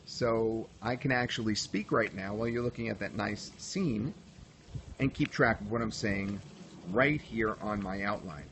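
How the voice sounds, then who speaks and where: A middle-aged man with a nasal voice and an American accent, giving relaxed, conversational broadcasting tips in a livestream tutorial. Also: fairly low pitch, unhurried, a little hiss or hum. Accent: General American, traces of New York. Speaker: broadcasting coach. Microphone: phone camera.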